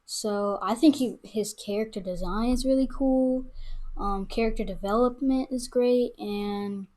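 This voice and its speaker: A woman chatting casually as a podcast guest, informal and halting as she thinks aloud. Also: a little high, mellow. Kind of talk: casual chat.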